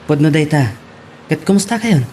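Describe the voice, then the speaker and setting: Casting: actor as easygoing, talkative Ilocano man in audio romance, fairly high voice, music underneath. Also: lively intonation, normal speed, mellow, a little hiss or hum, lightly acted. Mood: sociable. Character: Ron, chatty, easygoing male restaurant customer. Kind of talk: Filipino radio-style romance drama acting.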